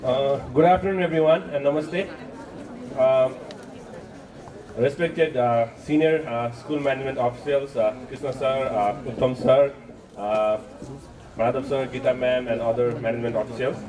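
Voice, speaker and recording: A man with a Nepali accent giving an informal public speech as a guest, halting with frequent filled pauses. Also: medium pitch. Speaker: guest speaker, organization representative. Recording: distant mic, crowd noise.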